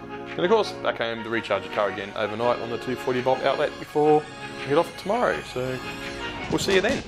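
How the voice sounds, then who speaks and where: A man's voice: mid-range voice, animated, fairly quick. Chatty Australian man vlogging from his car. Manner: chatty, talks while driving, loose asides and fillers. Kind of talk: casual road trip vlog narration. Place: inside a moving car. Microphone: dash-mounted camera. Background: background music.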